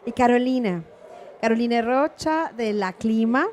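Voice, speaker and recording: A woman's voice: on the high side, expressive, unhurried, darkish tone, a little hiss or hum. A woman moderating a formal panel discussion, thanking speakers and putting questions to them.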